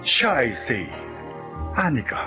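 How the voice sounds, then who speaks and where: A man's voice: medium pitch, big pitch swings, takes it slowly. A man with a Filipino accent giving earnest, dramatic scripted narration of a life story. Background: prominent music.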